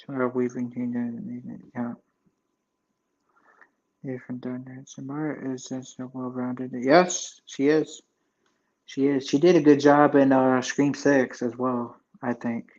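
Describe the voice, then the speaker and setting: A man's voice: mid-range voice, level tone, slow pace, darkish tone, lo-fi sound. A man in his twenties chatting casually with his live viewers, rambling loosely with lots of fillers and restarts. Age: 20s. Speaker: livestream host chatting with viewers.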